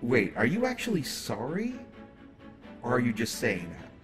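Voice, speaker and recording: Man playing smitten male superhero, animated intonation, mid-range voice, teasing and playful, conversational stumbles, audio drama roleplay. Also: medium pitch, moderate pace, music in the background. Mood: flirty, playful. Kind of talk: roleplay audio drama, acted monologue to listener.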